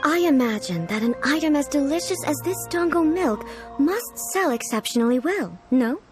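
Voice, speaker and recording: A woman voicing an almighty female ruler in a video game, formal, measured and aloof yet curious. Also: high-pitched, expressive. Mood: pleased, curious. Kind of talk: scripted video game dialogue. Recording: background music under the voice.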